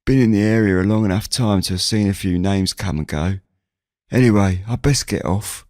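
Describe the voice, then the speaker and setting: A man's voice: fairly low pitch, normal speed, darkish tone, dry recording, studio quality, pitched above the performer's own voice, close to an everyday voice. A man voicing a chatty, nosy old village grandfather in an audio drama, folksy and grumbling in manner. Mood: gruff, teasing. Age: older. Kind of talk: audio drama character dialogue.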